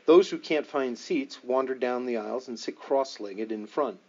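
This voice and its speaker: A man's voice: mid-range voice, moderate pace, darkish tone, muffled recording. A man narrating a nonfiction book as an audiobook reader, in a scripted reading style.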